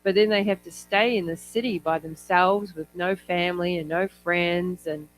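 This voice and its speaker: Higher-voiced man from New Zealand, informal speaker. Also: steady tone, normal speed, a bit bright, faint music behind, rough recording. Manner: conversational, digressive, often trailing into asides. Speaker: academic speaker on health policy. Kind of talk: informal conference talk.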